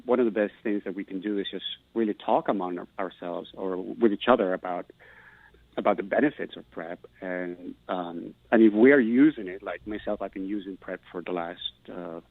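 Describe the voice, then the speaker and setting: Middle-aged man, low-pitched, earnest and searching, phone-thin dry audio. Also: normal speed, no echo, phone-quality sound. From Peru. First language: Spanish. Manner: earnest, thoughtful, restarts words while searching. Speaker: guest, Spanish professor. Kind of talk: recorded interview answers.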